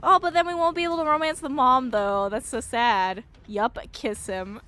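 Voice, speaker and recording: A woman with a high voice streams a visual novel. She is excitable and expressive, quick to giggle. Her delivery moves between reading the text aloud and giving her own live commentary.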